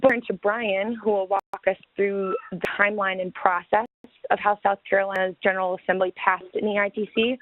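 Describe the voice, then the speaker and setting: A woman's voice: mid-range voice, steady tone, brisk, no room sound. A woman giving a prepared, formal webinar presentation over a thin phone-like line.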